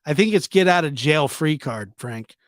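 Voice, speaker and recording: Male livestream host, slightly higher voice, informal teasing chat delivery with repetition, very dry recording. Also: animated, moderate pace, dry recording. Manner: informal, teasing, repeats himself. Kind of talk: casual live chat commentary, reading and answering viewer comments.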